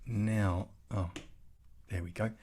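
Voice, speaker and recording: A man's voice: fairly low pitch, fairly even intonation, slow pace, darkish tone. An Australian man giving an online tech talk over a video call, chatty, with self-deprecating asides. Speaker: conference speaker.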